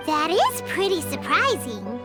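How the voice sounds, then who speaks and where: A little floating fairy sidekick from a video game. The voice is piercingly high and childlike, swinging up and down in a perky, slightly slow delivery. Loud music plays behind it. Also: female voice, huge pitch swings, exaggerated voice. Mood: curious. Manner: refers to itself in third person, childish. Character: tiny childlike floating fairy companion, perky, food-loving.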